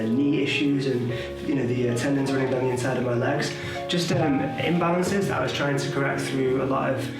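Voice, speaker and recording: Hesitant guy trainer talking it over, super echoey, guitar music clear behind. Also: medium pitch, distant mic. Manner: thoughtful, hesitant, many fillers. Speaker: client and personal trainer. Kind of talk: casual testimonial.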